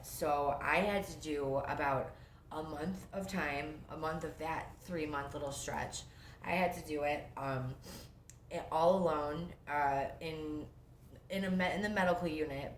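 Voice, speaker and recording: A young woman talks about her own life at home, her low voice rambling along with plenty of fillers and restarts. She sounds sniffly from a cold. The room is echoey, and a faint hiss sits underneath. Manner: rambling, lots of fillers and self-interruptions. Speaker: vlogger sharing her recovery story. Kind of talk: casual first-person storytelling.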